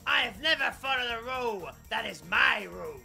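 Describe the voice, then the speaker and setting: A man's voice: animated, fairly quick, gravelly, pushed and bright, faint music behind, full character voice. A man voicing a manic, taunting Joker-style clown villain in a comic radio play, with theatrical delivery. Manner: theatrical, swooping high, taunting. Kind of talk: parody radio play acting.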